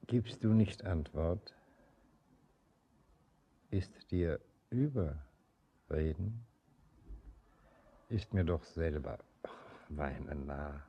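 An actor playing a bride's aging father in a radio drama, gentle and troubled, speaking solemnly and haltingly. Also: extremely low pitch, animated, breathy edge, heavily acted. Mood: tender, wistful. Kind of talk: poetic radio drama acting. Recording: faint background noise.

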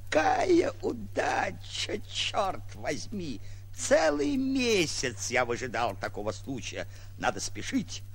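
A scheming fake prince in an old radio comedy. He has a highish, husky voice with lively, theatrical turns and elaborate mock courtesy. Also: takes it slowly, exaggerated voice. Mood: gleeful, scheming. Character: sly, smooth-talking swindler posing as a prince. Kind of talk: 1940s radio comedy acting. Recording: old 1940s radio recording.

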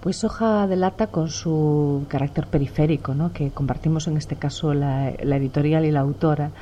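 A middle-aged woman from Galicia, a novelist answering interview questions in a measured, reflective way, pausing often with "eh". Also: low-pitched, steady tone, warm tone, highs cut off, a little hiss or hum. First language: Spanish. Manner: measured, reflective, many "eh" pauses.

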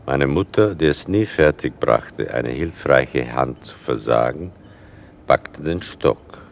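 An older man narrating a literary radio play in a gravelly, dry, measured and ironic way. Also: lively intonation, slight background noise. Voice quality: deep, gravelly.

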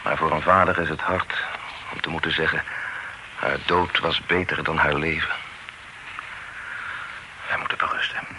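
A man's voice: very deep voice, level tone, moderate pace, long pauses. An actor playing a grieving, resigned old father who speaks in a subdued, solemn way. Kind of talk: radio play acting. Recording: noisy old radio drama recording.

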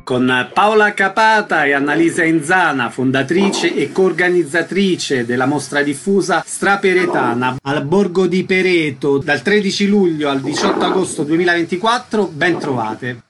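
A man's voice: fairly high pitch, animated, normal speed, hardly any pauses, music in the background. A man hosting a radio programme, putting short questions and reading scripted announcements. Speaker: radio interviewer, host.

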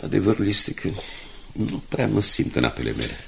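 An actor playing a proud, weary, middle-aged newspaper owner in a radio drama, courteous and measured, with emphatic turns. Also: male voice, on the low side, very animated, gravelly, a bit bright, a little hiss or hum, heavily acted. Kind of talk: 1980s Romanian radio drama acting.

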